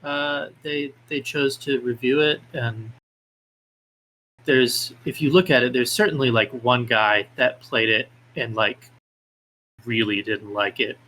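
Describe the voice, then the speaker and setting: A man's voice: mid-range voice, steady tone, takes it slowly, sharp tone, a little hiss or hum, poor audio. A man being interviewed as a guest, talking casually with hesitant phrasing and lots of filler words. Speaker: podcast guest, independent game developer.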